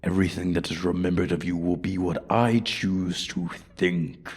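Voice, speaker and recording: A man voicing an ancient, arrogant dragon in a fantasy ASMR roleplay, haughty and deliberate, with a breathy voice that often drops to a whisper. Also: low voice, level tone, a little mellow. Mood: scornful. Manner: haughty, deliberate, coldly threatening. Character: ancient, menacing, arrogant male dragon guarding his hoard. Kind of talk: slow, theatrical fantasy ASMR roleplay monologue.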